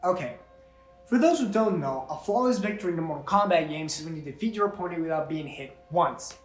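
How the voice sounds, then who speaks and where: Casting: high-voiced male film critic, sarcastic and sweary, comic scripted commentary over faint music. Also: expressive, a little fast. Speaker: film review co-host.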